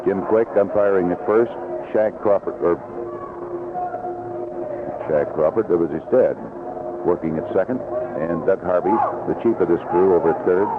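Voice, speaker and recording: A man working as a play-by-play announcer, giving live 1970s baseball radio commentary, unhurried in description and more animated on the action. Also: sits low. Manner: unhurried description between plays, rising excitedly on action. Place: outdoor ballpark broadcast booth. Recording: noisy, tinny vintage AM radio broadcast.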